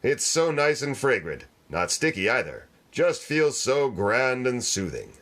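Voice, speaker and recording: A man's voice: mid-range voice, big pitch swings, a bit bright. Man as salesmanlike old-time radio announcer. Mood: reassuring pitch. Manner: measured, salesmanlike. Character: smooth-selling male 1940s radio announcer. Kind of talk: scripted radio advertisement read.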